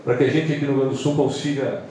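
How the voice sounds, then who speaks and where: A southern Brazilian man talks to an audience in a conversational, direct way. His tone is soft-edged and mellow. He is heard from a distance in a strongly reverberant room, as someone moderating an event would be. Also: mid-range voice, steady tone, quick pace. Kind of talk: informal speech to an audience. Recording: echoey room, far mic, faint noise.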